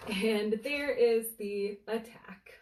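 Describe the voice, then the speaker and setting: A woman reads a humorous story aloud as a storyteller, in a deliberate, dramatic way with suspenseful pauses. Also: a little high, darkish tone, a little hiss or hum. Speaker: bookseller storyteller reading aloud. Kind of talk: animated bedtime story reading of a humorous book. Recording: roomy, echoey, distant from the mic.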